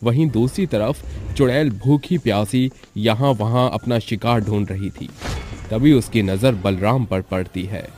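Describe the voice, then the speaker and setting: A man's voice: on the low side, a little slow, darkish tone. A man narrating a scripted horror story as a storyteller. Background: faint background music.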